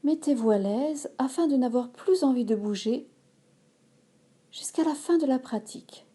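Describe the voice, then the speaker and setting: A woman yoga instructor leading a guided relaxation in a calm, measured manner, giving instructions in short phrases. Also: high voice, slightly rough. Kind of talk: slow guided relaxation meditation.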